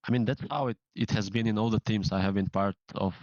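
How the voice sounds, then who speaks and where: A low-pitched man speaks in an even, level tone with little rise or fall. He answers in a measured way, often stopping to search for the right words. The sound is dry and dull, like a lo-fi recording. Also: no room sound. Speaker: professional gamer, in-game leader. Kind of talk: casual interview answers.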